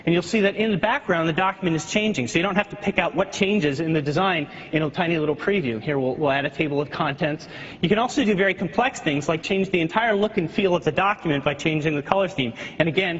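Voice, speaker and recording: A man's voice: a little high, quick pace, a bit bright, a little hiss or hum. Man presenting on a reverberant stage. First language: not French. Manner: explanatory, with frequent restarts and fillers. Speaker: software product presenter. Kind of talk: live keynote product demo. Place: large auditorium stage before an audience. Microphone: stage mic, heard through the hall. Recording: dull lo-fi recording with long hall reverb.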